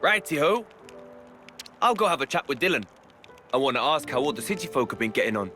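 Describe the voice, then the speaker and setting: A man's voice: medium pitch, sing-song, fairly quick, pushed and bright, faint music behind. A man with a British accent playing a breezy, easygoing young adventurer in a video game. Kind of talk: video game cutscene acting.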